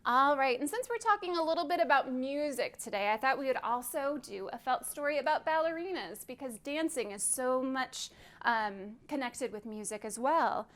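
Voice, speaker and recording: A woman leading a virtual library storytime, telling a story to children gently and clearly and inviting them to count along. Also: high voice, expressive, normal speed.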